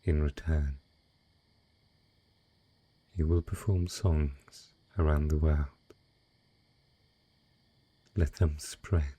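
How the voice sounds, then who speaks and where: A very deep, dark male voice, breathy and close, a man acting out an ancient dragon disguised as a rich patron. He draws out each formal phrase slowly and seductively, possessive yet courtly, in an intimate romantic audio drama. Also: fairly even intonation, full character voice. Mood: businesslike, indulgent. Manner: slow, deliberate, formal, velvety seductive phrasing.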